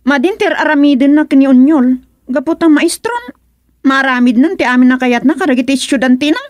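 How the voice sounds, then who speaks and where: Mother role, actress: high voice, Ilocano accent, worried and complaining, radio drama, dry studio sound. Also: fairly quick, no echo, natural voice. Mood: complaining, upset.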